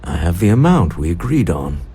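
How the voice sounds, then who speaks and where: An actor playing a suave, wealthy, wry executive in a video game, speaking in polished, unhurried phrases. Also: male voice, low voice, sing-song, dark tone, husky, exaggerated voice. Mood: smooth, firm. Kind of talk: video game dialogue acting.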